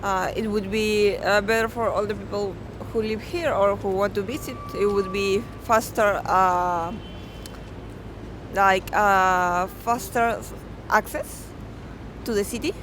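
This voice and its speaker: A woman from Peru answers a street interviewer in a mid-range voice, pausing with fillers as she goes. Street rumble is clearly heard around her. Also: steady tone. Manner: hesitant, with fillers. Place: city street outdoors. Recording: street rumble, speaker off mic.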